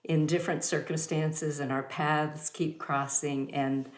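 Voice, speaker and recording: Host: woman, very low voice, slow and halting with fillers, echoey room. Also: level tone. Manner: warm introductions with many fillers. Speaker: host of a yoga studio talk. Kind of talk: hosting an online talk.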